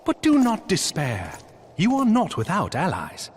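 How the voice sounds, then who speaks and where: A man's voice: high-pitched, wildly swooping pitch, a little slow, slight background noise, exaggerated voice. A lilting, courtly nobleman. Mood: reassuring. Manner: courtly, formal, theatrical phrasing. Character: gracious young nobleman knight, courteous and loyal. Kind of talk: scripted video game dialogue.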